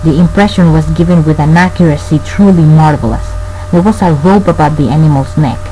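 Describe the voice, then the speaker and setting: An actor playing a tormented man narrating a gothic horror tale, formal, grave and measured in a radio play. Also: fairly high pitch, dark tone, natural voice, poor audio. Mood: awed. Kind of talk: scripted dramatic narration. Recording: faint background noise and hum.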